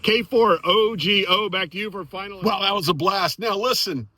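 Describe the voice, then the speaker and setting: High-voiced excited American man. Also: normal speed. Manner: excited, friendly, effusive. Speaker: amateur radio operator and vlogger. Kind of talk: casual enthusiastic chat and radio exchanges.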